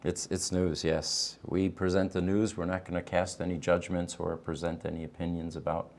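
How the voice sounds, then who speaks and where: A middle-aged man whose first language is German, hosting a casual morning show from a studio, halting with many ums. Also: low-pitched, little pitch movement, darkish tone. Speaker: show host. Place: studio set in front of a backdrop.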